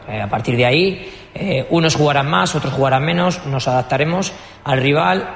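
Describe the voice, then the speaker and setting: A man coaching a football team, speaking firmly at a press conference with frequent fillers. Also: mid-range voice. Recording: echoey hall.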